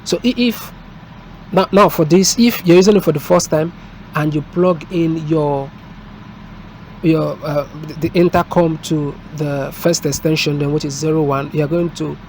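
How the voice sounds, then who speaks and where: Male technician for hands-on tutorial: halting step-by-step delivery, slightly high pitch, clear street noise behind. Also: steady tone, darkish tone. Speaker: technician presenting a product installation. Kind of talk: unscripted hands-on tutorial and review. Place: indoors at a desk, filmed from above. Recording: noisy room with street and vehicle sounds.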